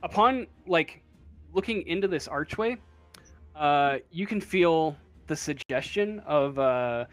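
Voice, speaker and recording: A man acting as game master, improvising narration for a tabletop role-playing game in a halting way, thinking aloud. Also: a little high, lively intonation, fairly bright tone, dry recording, soft background music.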